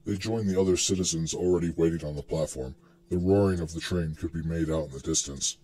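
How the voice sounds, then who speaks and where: A man's voice: low voice, fairly quick, mellow. A man reading scripted story narration as the narrator of a dramatic reading.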